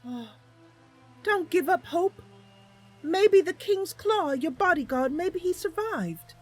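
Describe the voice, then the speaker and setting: A woman's voice: extremely high pitch, expressive, slow, soft background music, exaggerated voice. A woman voicing a perky, inquisitive young wood elf adventurer in a game roleplay, chatty and wide-eyed. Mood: concerned.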